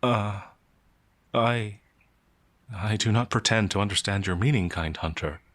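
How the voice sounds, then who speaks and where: A man's voice: lowish voice, unhurried, a bit bright, no room sound. A man playing a timid, innocent werewolf farmhand in an audio roleplay, speaking in a hesitant, stammering, naively earnest way. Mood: anxious, eager. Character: timid, gentle, innocent male werewolf farmhand, older than he appears. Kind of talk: audio roleplay character acting.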